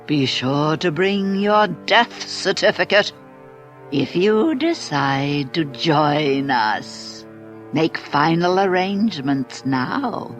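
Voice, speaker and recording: A man voicing a ghoulish, morbidly playful horror host in a slow, teasing, creepy radio promo. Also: a little high, lively intonation, a bit bright, faint music behind. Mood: creepy, gleeful. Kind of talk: spooky radio station promo.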